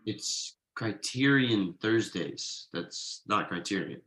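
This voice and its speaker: A man playing Thomas, an earnest, frugal writer who gets excited easily, in a table read of a TV pilot script. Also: fairly low pitch, slow pace, heavily acted. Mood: dry, mild teasing.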